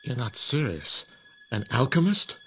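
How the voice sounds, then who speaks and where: An actor playing an old science professor in a radio drama, sceptical, proud and frightened, with a pointed, challenging manner. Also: male voice, medium pitch, very animated, unhurried, fairly bright tone. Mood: incredulous scorn. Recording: radio drama with music underneath.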